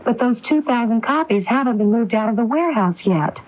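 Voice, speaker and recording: An actress playing a determined, grieving woman who questions and accuses deliberately in a 1980s radio drama. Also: on the high side, animated, close to an everyday voice. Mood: pressing, suspicious. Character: determined grieving woman confronting her brother over fraud. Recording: muffled 1980s AM radio broadcast tape.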